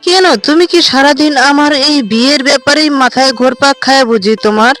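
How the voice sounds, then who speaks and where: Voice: fairly quick, fairly bright tone, faint music behind. A voice actor playing a dutiful young unmarried village woman in a cartoon, earnest and pleading. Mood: insistent. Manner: earnest, pleading, somewhat shrill. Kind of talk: cartoon voice acting.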